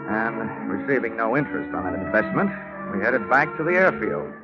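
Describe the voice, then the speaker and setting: An American actor playing a cocky, wisecracking insurance investigator in a vintage radio drama, with wry, slangy, hard-boiled delivery. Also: male voice, on the low side, very animated, moderate pace, muffled recording. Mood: breezy, wry narration. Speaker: lead actor and narrator of radio serial. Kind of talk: 1950s radio detective drama acting. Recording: vintage radio broadcast, band-limited, music behind.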